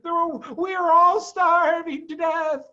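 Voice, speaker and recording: A man voicing an excitable, anxious badger in a children's storybook, exclaiming in a worried, dramatic way. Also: very high voice, unhurried, dull audio, voice pushed up, exaggerated voice. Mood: panicked. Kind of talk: storybook character voice.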